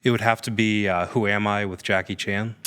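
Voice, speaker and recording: A man's voice: lowish voice, fairly even intonation, a little fast, fairly bright tone, smooth. A man chatting casually as a podcast guest, answering questions with joking asides.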